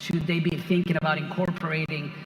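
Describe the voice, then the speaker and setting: A man moderating a conference panel, posing deliberate rhetorical questions. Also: fairly high pitch, flat delivery. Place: large conference hall. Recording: very echoey large hall.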